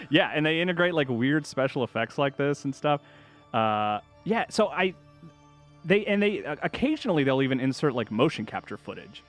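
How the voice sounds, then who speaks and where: A man's voice: a little high, big pitch swings, normal speed, long pauses, soft background music. Man casually commentating on a video game. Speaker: video game journalist, host. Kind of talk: casual chat, commentary over gameplay.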